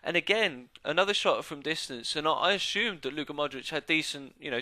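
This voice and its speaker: Bright, congested man commentating gameplay. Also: mid-range voice, no echo. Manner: chatty and relaxed, many "uh" fillers and restarts. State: slightly congested from a coming cold. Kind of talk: casual recorded commentary over football game footage. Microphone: new desk microphone.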